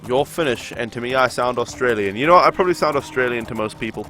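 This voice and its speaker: A man's voice: mid-range voice, quick pace, fairly bright tone, faint music behind. A young man from New Zealand with a New Zealand accent, chatting with his viewers while he plays a game. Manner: chatty, reads chat aloud and answers it. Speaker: streamer. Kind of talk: live game stream commentary.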